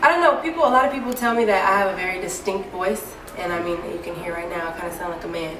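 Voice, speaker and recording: A woman singer giving a casual interview, talking in a deep, low speaking voice with many easygoing fillers. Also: very fast. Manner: relaxed and chatty, many fillers like "um" and "you know". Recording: echoey room, mic far off, clear background noise.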